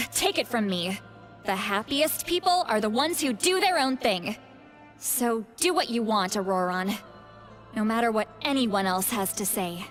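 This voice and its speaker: A woman's voice: on the high side, lively intonation, measured pace, faint music behind. An actress playing a free-spirited, encouraging woman in a video game, easygoing as she gives advice. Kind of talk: scripted video game dialogue.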